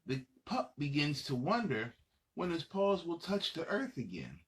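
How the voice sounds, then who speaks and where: Male kids' storyteller, higher-pitched and very animated, playful and dramatic delivery. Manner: playful, dramatic, pauses to ask young listeners questions. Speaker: children's storybook reader and host. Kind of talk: animated read-aloud storytelling for children.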